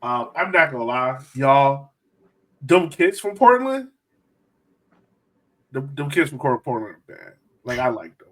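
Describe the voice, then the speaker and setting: A man's voice: medium pitch, big pitch swings, a little slow, pauses often, a bit bright, poor audio. A man co-hosting a casual sports podcast, talking in a slangy, storytelling way. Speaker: podcast co-host.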